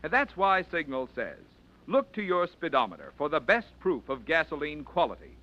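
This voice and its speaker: A man's voice: on the high side, very animated, normal speed. A man reading a sponsor announcement in an upbeat, persuasive way for a vintage radio show. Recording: dull vintage radio recording.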